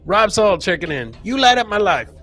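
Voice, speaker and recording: A man's voice: sits high, very animated, moderate pace, bright, forceful tone. A man hosting a comedy show, chatting casually and ramblingly with joking asides as he comments on clips.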